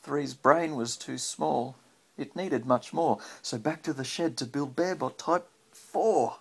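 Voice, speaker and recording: Slow-paced dad reading a picture book aloud. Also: male voice, medium pitch, gravelly, husky. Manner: encouraging, prompting with questions. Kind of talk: read-aloud storytelling with casual chat to a child. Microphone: handheld phone. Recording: faint background noise, home phone recording.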